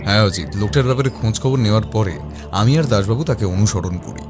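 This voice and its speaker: A man playing a composed detective who recounts his investigation in a measured, explanatory first-person narration. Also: lowish voice, natural voice. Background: background music.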